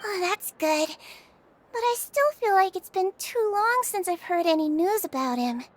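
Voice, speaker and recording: A tiny sweet-voiced nurse creature. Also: female voice, extremely high pitch, expressive, normal speed. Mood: curious. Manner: sweet, soft, caring and chirpy. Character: small gentle nonhuman female head nurse. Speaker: game character. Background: game music.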